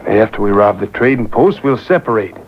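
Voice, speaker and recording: A man's voice: fairly low pitch, expressive, a little fast, natural voice. A man acting in an old-time radio drama as a confident, scheming outlaw, on a muffled, noisy taped broadcast.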